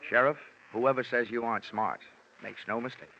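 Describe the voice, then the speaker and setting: A man's voice: medium pitch, fairly even intonation, moderate pace, gravelly, lightly acted. A dull, hissy vintage radio recording of an actor as a cool, sardonic American detective. He wisecracks and narrates dryly in a mid-range male voice, in classic radio-play style. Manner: wry, flippant, sardonic. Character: wisecracking, cool male freelance insurance investigator. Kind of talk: 1940s-50s radio detective acting with first-person narration.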